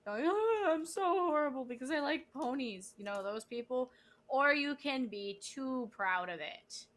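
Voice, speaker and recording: Young woman from the US, livestream host, playful rambling chat, slightly high pitch. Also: animated, measured pace, a bit bright. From United States.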